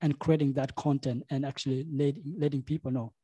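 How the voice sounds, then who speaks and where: Young man, mellow voice. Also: mid-range voice, flat delivery, slightly rough, no echo. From South Sudan. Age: young adult. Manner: halting, repeats words, many "like" and "you know" fillers. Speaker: podcast host. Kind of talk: casual interview. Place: home studio room. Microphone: studio microphone with headphones.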